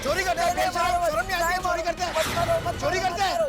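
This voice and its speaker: An actor playing a furious man who shouts and scolds repetitively in a television drama. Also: very high-pitched, little pitch movement, a little fast, music in the background, a crowd in the background. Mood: furious, alarmed. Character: furious man chasing and scolding a book thief. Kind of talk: Hindi television comedy-drama acting.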